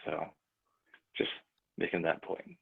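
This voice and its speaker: A man, a church member, talking thoughtfully in a rambling way with restarts, as a guest on a video call. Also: takes it slowly. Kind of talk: casual chat. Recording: tinny phone-like call audio.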